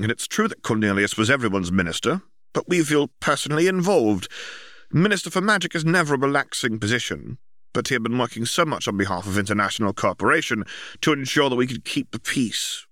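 A man's voice: mid-range voice, sing-song, brisk, a bit bright, dry recording, studio quality, voice pushed up. A man voicing an officious, earnest young bureaucrat, a diligent older brother who talks formally and insistently in an audiobook story.

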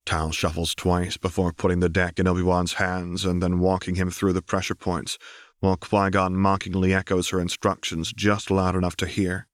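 Male audiobook narrator, low register, dry studio-tight sound, scripted fiction. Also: completely flat delivery, moderate pace, no room sound. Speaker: audiobook reader.

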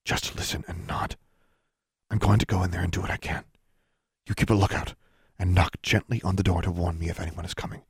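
A man voicing a stern, guarded woman in an audiobook, speaking in a hard, hushed, curt and commanding way. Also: low-pitched, fairly even intonation, fairly quick, husky, dry recording, clean studio sound, exaggerated voice. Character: stern, guarded adult elder sister, a woman doctor.

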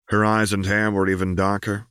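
A man's voice: on the low side, flat delivery, normal speed, a bit bright, clean tone, no echo, studio quality. A man reading fiction as a scripted audiobook narration.

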